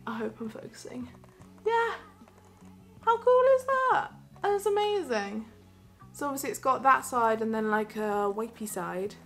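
A young woman in her twenties, chatting excitedly and giggling through a haul vlog, filmed in a small bedroom by a camera facing her. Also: fairly high pitch. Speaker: vlogger.

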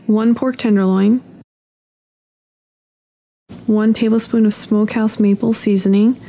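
A young woman in a home kitchen reading recipe steps aloud in a steady, matter-of-fact way. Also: medium pitch, slight background noise. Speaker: home cook.

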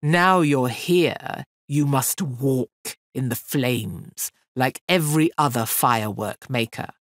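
A woman voicing a huge, mocking male fire fiend in a storybook reading, slow and booming. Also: dry recording, clean studio sound, full character voice. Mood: commanding.

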